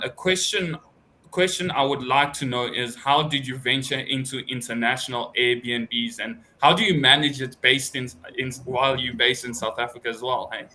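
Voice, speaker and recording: An upbeat man hosts a live judging panel, his voice bright and forward. He talks in a chatty way, and there is a faint hum of noise behind him. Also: mid-range voice, poor audio. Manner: upbeat, chatty with filler "hey". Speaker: host and judge. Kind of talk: live online panel hosting.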